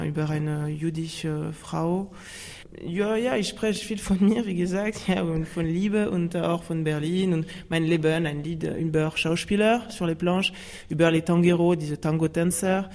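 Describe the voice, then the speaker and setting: Gravelly French woman, halting German. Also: deep voice, darkish tone. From France. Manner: halting German with many fillers and restarts. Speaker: singer-songwriter guest. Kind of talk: radio interview chat.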